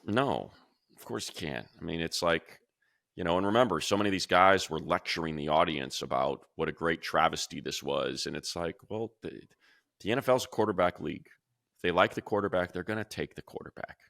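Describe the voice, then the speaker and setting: A man with a very low, gravelly voice and a sharp, bright edge talks football from a room at home. He rambles through restarts and grows animated when he compares players. The sound is dry and close. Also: fairly quick, dry recording. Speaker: radio play-by-play announcer. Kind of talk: casual sports podcast chat.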